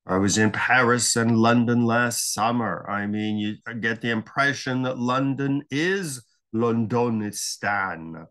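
An older man giving a library slide lecture on world affairs, speaking in an unhurried, emphatic way with long pauses. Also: mid-range voice, fairly bright tone.

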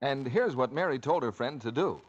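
A man's voice: medium pitch, animated, normal speed, dull audio. A man announcing on 1940s radio in a polished, promotional manner.

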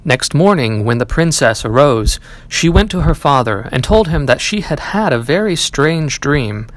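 A man narrates a fairy tale in an expressive storybook manner. His voice sits a little high for a man and moves with lively, swooping intonation. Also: normal speed, low-quality recording. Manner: storybook delivery, acting out dialogue. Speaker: audiobook reader, library staff.

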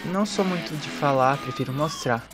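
Competitive, reserved young male contestant played by a man, highish voice over loud music. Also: normal speed, natural voice. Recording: prominent music behind the voice.

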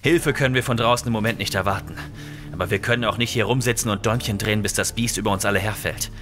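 An actor playing a tense, sardonic polar-station scientist in an audio thriller, speaking formally and with urgency. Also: male voice, lowish voice, fast talker, lightly acted. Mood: determined.

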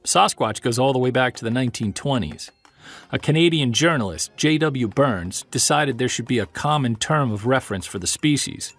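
An actor playing a calm, courteous investigator who explains things in a measured way in an audio drama. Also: male voice, mid-range voice. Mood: informative. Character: calm, polite male investigator of mysterious creature sightings. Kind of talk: audio drama dialogue and narration.

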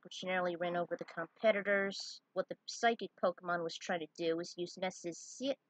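A woman's voice: medium pitch, fairly bright tone, poor audio. A woman reading a story aloud in an informal amateur way, stumbling now and then over words. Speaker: fan fiction reader. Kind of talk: amateur story narration read aloud, with asides.

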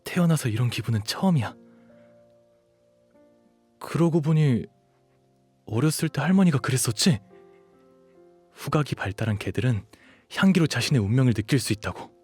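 Man voicing an animated, boyish puppy shapeshifter. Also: mid-range voice, moderate pace, long pauses, slightly rough, faint music behind. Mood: wistful, smitten. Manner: boyish, cutesy, pleading. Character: cute, eager twenty-year-old dog shapeshifter, pleading young man. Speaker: voice actor. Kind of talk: scripted Korean audio drama acting.

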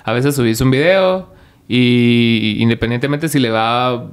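A Guatemalan man at an ordinary middle pitch hosts a casual conversation. He talks in a relaxed, rambling way, full of local tags and repeated words. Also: measured pace, clean tone. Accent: Guatemalan Spanish. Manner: relaxed, uses "vos" and "¿va?", many repeats. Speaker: podcast host.

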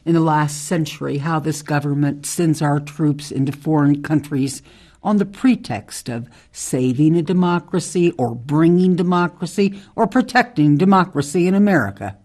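A woman playing a tough, outspoken ex-military forest ranger in a radio drama, speaking in a measured, emphatic way. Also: low-pitched, a little mellow. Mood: grave, critical.

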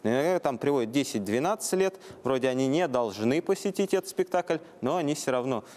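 A man's voice: medium pitch, animated, fast, darkish tone. A man in his thirties co-hosting a talk show, speaking conversationally without a script. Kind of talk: conversational presenting, unscripted. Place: TV studio, standing before audience.

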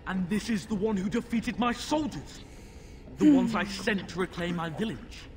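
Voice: mid-range voice, animated, moderate pace, slight background noise, lightly acted. A performer playing a demon-possessed young boy in a fantasy game, haughty, mocking and commanding. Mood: imperious curiosity.

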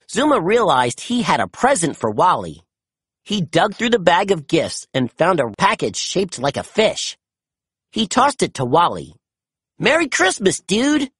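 An expressive narrator reads a children's storybook aloud. The voice is medium in pitch and swings widely for storytelling. It has a husky edge and a dry, close sound. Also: no echo.

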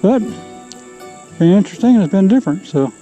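Guy chatting to his camera on a woods trail, kinda high voice, music underneath. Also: lively intonation, normal speed, warm tone, low-quality recording. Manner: easygoing, talking to camera while walking. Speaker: hiker vlogger. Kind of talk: casual hiking narration. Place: outdoors in a forest trail. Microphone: action camera carried while hiking.

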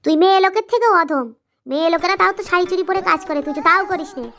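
A woman plays a furious young housewife from a comedy cartoon, firing off combative retorts in a high, bright voice at breakneck speed. She scolds without pause and gives no ground. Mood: scolding. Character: angry, sharp-tongued daughter-in-law, a scolding housewife. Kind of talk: amateur comedy cartoon acting.

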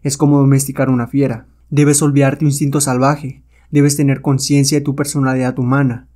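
A noble comic-book adventurer, read by a male narrator, with a mellow voice. He is calm and measured, quietly sure of the power of his mind. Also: medium pitch, close to an everyday voice. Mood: soothing. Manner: calm, self-assured, measured. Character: calm, noble turbaned hero man with hypnotic powers.

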